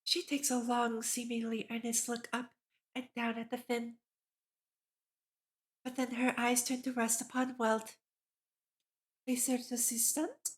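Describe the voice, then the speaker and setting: A woman's voice: fairly high pitch, completely flat delivery, measured pace, pauses often. A woman streamer reading a visual novel aloud, narrating and reacting as she goes. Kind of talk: livestream read-aloud with character voices and reactions.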